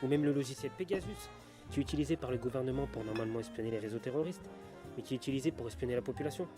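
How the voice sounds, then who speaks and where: An actor playing an earnest, insistent conspiracy theorist stranger in a bar, in an audio drama. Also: male voice, medium pitch, racing, natural voice. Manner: earnest, urgent explaining. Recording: music and bar chatter behind.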